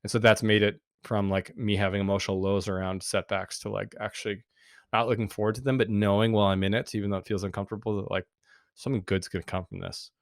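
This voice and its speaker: Deep-voiced earnest man, dry close sound. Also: flat delivery, fast, no room sound. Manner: earnest, reflective, with frequent fillers. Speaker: podcast guest, men's group founder. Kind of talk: casual interview talk.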